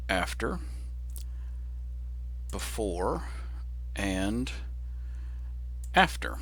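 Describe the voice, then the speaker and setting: An older man giving a casual, explanatory walkthrough in a halting way, pausing between phrases. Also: deep voice, sharp tone. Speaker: hobbyist presenter restoring a vintage radio. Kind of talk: casual narrated walkthrough and demonstration.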